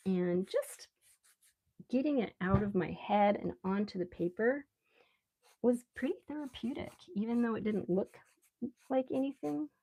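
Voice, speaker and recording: A woman with a relaxed voice chats casually on a live stream as she draws, rambling unhurriedly and thinking aloud. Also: mid-range voice, a little slow, pauses often, husky, rough recording. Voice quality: relaxed, soft-edged voice. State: relaxed, mildly sedated after anesthetic. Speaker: live stream artist host. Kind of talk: casual live stream chat while drawing.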